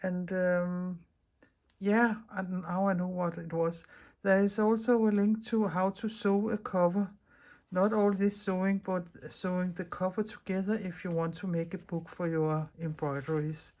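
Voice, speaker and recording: A woman's voice: lowish voice, steady tone, measured pace, a little mellow. A middle-aged woman from Denmark with a Danish accent, hosting a chatty craft tutorial in English as a second language and often restarting her words. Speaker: craft video host. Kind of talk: casual craft tutorial talk.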